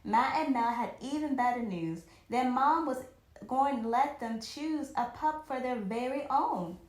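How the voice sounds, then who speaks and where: A woman reading a children's story aloud in an expressive, animated way, occasionally stumbling and rereading a phrase. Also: high-pitched, a little slow, echoey room.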